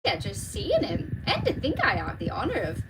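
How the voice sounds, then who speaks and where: A woman's voice: sits high, wildly swooping pitch, normal speed, noisy background, pitched above the performer's own voice. A woman voicing a starstruck kitchen servant in a storybook, dramatic, fluttery and gushing. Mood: swooning.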